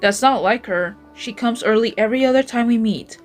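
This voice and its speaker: A woman's voice: on the high side, brisk, faint music behind. A woman acting out scripted fantasy game dialogue as an amateur voice actor. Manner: acted, reading scripted lines.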